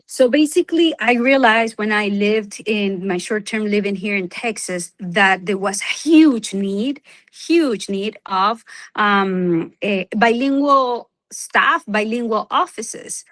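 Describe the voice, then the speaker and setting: A woman's voice: mid-range voice, expressive, slow, fairly bright tone. A middle-aged woman from Venezuela, a native Spanish speaker, answering interview questions as a physician, with many fillers and restarts. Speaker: OB/Gyn physician, guest. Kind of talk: conversational interview.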